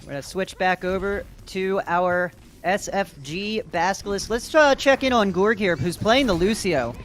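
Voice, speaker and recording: A man with a fairly high voice calls the action of an online esports match, upbeat and quick to get excited. There is a faint hiss under his voice. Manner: energetic, rises to excited shouts in big plays. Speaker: play-by-play caster. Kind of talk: esports commentary.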